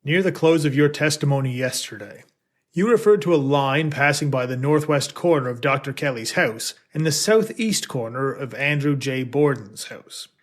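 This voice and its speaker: A man's voice: medium pitch, moderate pace, natural voice. A man playing a formal, persistent defense attorney in a dramatic reading of an 1890s trial, speaking precisely and insistently. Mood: probing.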